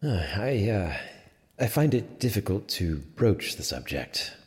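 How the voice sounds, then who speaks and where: A wry, low-voiced medieval sheriff. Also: male voice, big pitch swings. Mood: sheepish, awkward. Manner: wry, halting, formal wording. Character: smug, teasing medieval sheriff, awkward in love. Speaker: actor in an audio drama. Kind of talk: dramatic audio drama acting. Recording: long reverberant hall.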